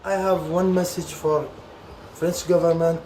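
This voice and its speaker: A man in his twenties from Iraqi Kurdistan, a refugee speaking in halting, pleading English. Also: a little high, little pitch movement, darkish tone, reverberant, slight background noise, poor audio. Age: 20s. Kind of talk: interview testimony.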